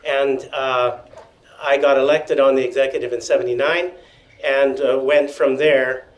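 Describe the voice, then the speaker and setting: An older man telling stories at a panel in a meeting room, loud-voiced with wry joking asides, feeling unwell and dizzy. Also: medium pitch, steady tone, a little slow. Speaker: panel speaker. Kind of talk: storytelling at a panel. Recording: echoey room.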